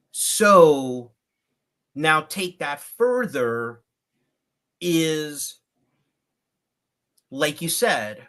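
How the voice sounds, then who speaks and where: A man in his fifties talking on a video call, building his points in a measured, deliberate way. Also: fairly high pitch, bright, forceful tone. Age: 50s. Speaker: guest. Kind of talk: reflective livecast conversation.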